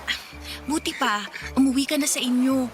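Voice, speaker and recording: A very high, girlish voice of a defensive Filipino teen daughter acted by a woman. It is radio drama acting, with music clearly behind it. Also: normal speed, lightly acted. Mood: hushed scolding. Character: rebellious, defensive teenage schoolgirl daughter.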